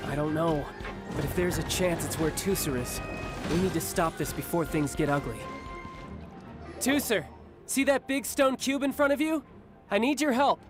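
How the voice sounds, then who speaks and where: Young male game warrior, confident, doting older brother, animated intonation, faint music behind. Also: sits high, normal speed, pauses often. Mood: concerned, then urgent, bright. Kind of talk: video game character acting.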